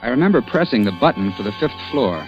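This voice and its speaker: A man's voice: medium pitch, very animated, quick pace, lightly acted. A stubborn, exasperated man about town played by a male actor in a vintage radio thriller. His mid-range voice is clipped and dramatic, in classic 1940s radio style. The recording is dull, with faint music. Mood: grim recollection.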